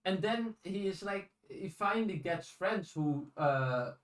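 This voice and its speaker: A man co-hosting a podcast in a casual discussion, speaking haltingly with restarted phrases and casual swearing. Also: sits high, animated. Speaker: podcast co-host. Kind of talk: casual discussion of audiobooks and fiction. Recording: roomy echo, distant from microphone.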